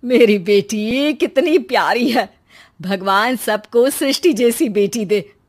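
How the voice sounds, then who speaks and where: A woman's voice: a little high, slow. A woman voicing a naive, anxious, doting middle-aged mother in a story, fretful and emphatic. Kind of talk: dramatised moral story acting.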